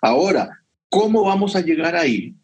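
A man's voice: on the high side, sing-song, moderate pace, darkish tone, breathy edge. A man with a Costa Rican accent chatting and telling travel stories, often adding little tag questions to check the listener follows. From Costa Rica. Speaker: guest sharing whisky travel advice. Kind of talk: conversational travel storytelling.

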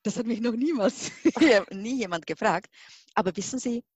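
A middle-aged woman from the Czech Republic speaks with a Czech accent in a fairly high voice. She tells her own story in an interview, halting to find words and then stressing them. The sound is dry, with hardly any room on it. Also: expressive, a little mellow, slightly rough, dry recording. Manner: halting, searching for words, emphatic. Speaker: interview guest, hypnosis and regression therapist. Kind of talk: spontaneous personal account in an interview.

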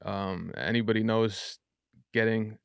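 A man's voice: lowish voice, fairly even intonation, unhurried, vocal fry, clean tone, no echo. A young adult man, a rapper as a guest on a podcast, talking loosely and at length with plenty of fillers. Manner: loose, chatty, frequent fillers. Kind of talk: casual interview talk.

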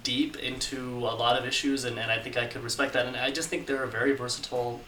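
A man's voice: mid-range voice, little pitch movement, quick pace, echoey room, distant mic, a crowd in the background, low-quality recording. A man whose first language is Urdu, rambling as he thinks aloud in a casual podcast chat, with many likes and hedges.

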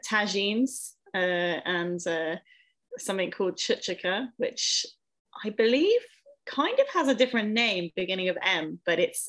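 A woman's voice: medium pitch, a bit bright. A young woman from England, a podcast guest, talking casually with many ums and self-corrections as she thinks aloud. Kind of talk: casual interview conversation.

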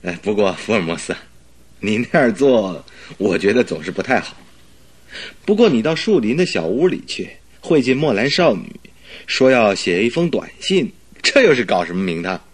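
A man's voice: on the low side, big pitch swings, normal speed, slightly rough. A man acting in a radio drama as an earnest, puzzled doctor and loyal companion, questioning and mildly chiding.

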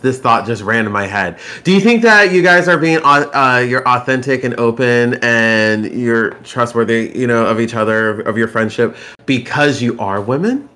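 A man's voice: medium pitch, bright, forceful tone. Brief Playful man in his thirties hosting. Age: 30s. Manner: playful, thinks aloud while asking. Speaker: podcast host. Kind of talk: casual interview.

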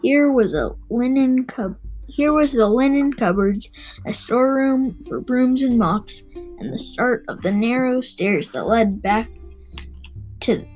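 A woman's voice: on the high side, expressive, takes it slowly, a little mellow, faint music behind, low-quality recording. A woman reading a children's novel aloud, stumbling now and then and rereading phrases. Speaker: reader and host of a read-aloud show.

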